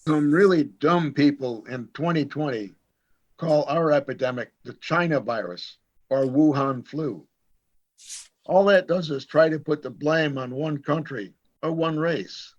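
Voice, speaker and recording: A man's voice: fairly high pitch, a little slow. A man playing a blunt man, dull recording. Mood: indignant. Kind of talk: amateur radio play acting.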